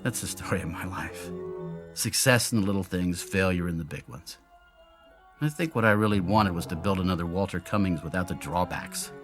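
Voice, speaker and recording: A man's voice: deep voice, normal speed, over music, natural voice. An actor in a radio drama playing a brilliant, lonely male inventor who explains himself in theatrical, brooding monologues. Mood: regretful. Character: brilliant, lonely, self-doubting male inventor of an android double.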